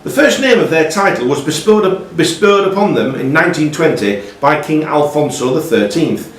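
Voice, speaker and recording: Lively male quiz master in an echoey room. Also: on the high side, moderate pace. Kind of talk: reading quiz questions aloud to contestants. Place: pub room during a live quiz. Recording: roomy, echoey pub room, slightly distant mic.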